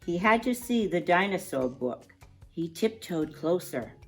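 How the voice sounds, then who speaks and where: A woman reads a children's picture book aloud at an unhurried pace, in a slightly low voice. She stretches key words expressively and speaks to her young listeners in chatty asides. Soft music is present. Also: lively intonation.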